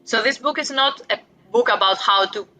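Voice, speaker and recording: A woman's voice: on the high side, normal speed, pushed and bright. A woman in her forties from Greece with a Greek accent, a guest author talking reflectively about parenting, with light self-deprecating humor. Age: 40s. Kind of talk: casual interview talk.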